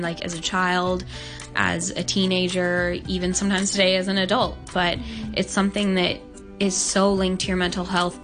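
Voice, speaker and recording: A young woman from Hong Kong speaks earnestly and openly as an interview guest, her voice low for a woman and gravelly with fry. She leans on "like" a lot as she talks. Music plays behind her. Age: young adult. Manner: earnest, open, many "like" fillers. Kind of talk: casual interview talk.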